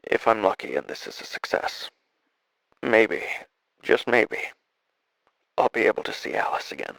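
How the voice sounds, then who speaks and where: Audio drama: male actor as weary, desperate dying scientist, lowish gravelly voice, solemn halting log entries. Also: very animated, fairly bright tone, no echo, exaggerated voice, low-quality recording. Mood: grieving, regretful. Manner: halting, solemn, weary delivery with trailing phrases.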